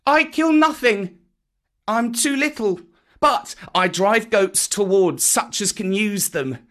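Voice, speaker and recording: A man's voice: high voice, expressive, takes it slowly, fairly bright tone, pitched above the performer's own voice, exaggerated voice. A man reading a storybook aloud, voicing a small jungle boy who speaks in formal, earnest old-style phrases.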